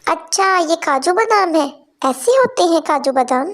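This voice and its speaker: A woman's voice: very high-pitched, expressive, moderate pace. A woman playing a meek, humble poor young wife. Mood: innocent.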